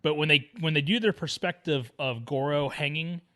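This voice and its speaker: A man with a fairly high, bright voice talks movies as a podcast co-host. He keeps it slangy and jokey, in loose casual conversation. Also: moderate pace. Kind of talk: casual chat about films.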